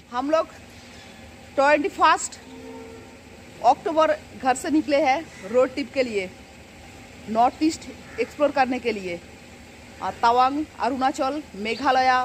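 A fairly high-voiced woman from West Bengal talks her way through a road trip in easy, chatty narration, ticking off places and expenses. She films herself on a phone held at arm's length from the car and the roadside. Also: slight background noise. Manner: chatty, listing places and costs. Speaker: travel vlogger.

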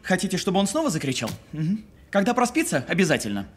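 An actor playing an insecure, flustered police officer who threatens defensively and stammers in an audio drama. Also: male voice, high-pitched, wildly swooping pitch, fast, a little hiss or hum. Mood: tense, officious. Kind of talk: naturalistic audio film drama acting.

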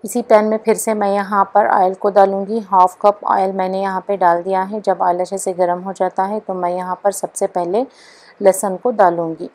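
Female cooking-channel host for recipe voice-over, steady monotone delivery, calm and instructional. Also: medium pitch, normal speed. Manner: calm, even instructional narration.

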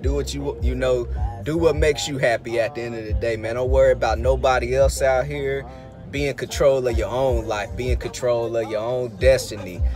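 A man in his thirties talking straight into a handheld phone camera, pushing an upbeat, preachy pep talk about staying motivated. His voice sits at an ordinary middle pitch. Background music runs clearly under his words. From Indianapolis, Indiana. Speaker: vlogger and business mentor. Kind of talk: casual motivational vlog talk. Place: outdoors by schools, then inside a pickup truck. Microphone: phone camera at arm's length.

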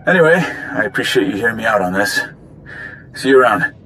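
A man's voice: fairly low pitch, animated, brisk, pushed and bright, a little hiss or hum, poor audio. A man playing an affable, cocky warrior in a video game, talking in a wry, casual way. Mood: wry, coaxing. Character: cocky, affable male warrior asking a favor. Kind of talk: video game cutscene acting.

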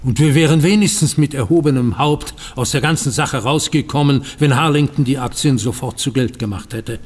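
An actor playing a dutiful, aggrieved banker, formal and earnest, in a German audio drama. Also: male voice, medium pitch, fairly quick, darkish tone, slightly rough, big-room sound, natural voice. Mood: explaining.

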